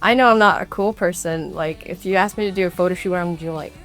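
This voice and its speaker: A woman's voice: lowish voice, quick pace, a bit bright. A woman, an indie singer-songwriter and native speaker of Tagalog and English, chatting casually with self-deprecating humour. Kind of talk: casual interview chat. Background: music.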